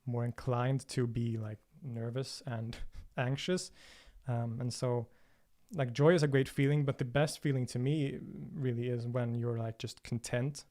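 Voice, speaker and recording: A man's voice: medium pitch, warm tone. A man from Sweden whose first language is Swedish, hosting a livestream and answering viewer questions thoughtfully, with frequent fillers and restarts. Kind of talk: casual livestream Q&A.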